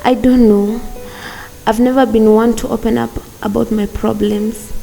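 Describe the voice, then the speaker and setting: Woman acting a troubled young student, creaky and mellow. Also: on the high side, level tone, moderate pace, soft background music, poor audio. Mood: defensive, stubborn. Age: young adult. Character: regretful, stubborn young female student in hospital. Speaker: actor in a radio drama. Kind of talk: radio drama acting.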